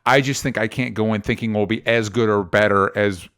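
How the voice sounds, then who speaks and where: A man's voice: lowish voice, fairly even intonation, a little fast, a bit bright, clear, resonant tone. A man co-hosting a podcast, giving casual opinions on movies in a hesitant way, often circling back and correcting himself mid-sentence. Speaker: podcast co-host reviewing movie trailers.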